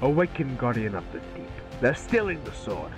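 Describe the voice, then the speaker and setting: A man's voice: medium pitch, big pitch swings, moderate pace, over music, poor audio. A man playing a scheming villainous warlord in a casual table read, taunting and gloating. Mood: commanding. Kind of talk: amateur table read acting.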